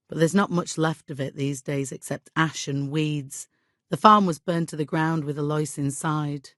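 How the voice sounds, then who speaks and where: An actress playing a middle-aged medieval village widow in a video game, devout and guilt-ridden, with an exasperated, imperious and plaintive manner. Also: female voice, deep voice, no echo, clean studio sound. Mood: grave storytelling. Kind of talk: dramatic video game dialogue acting.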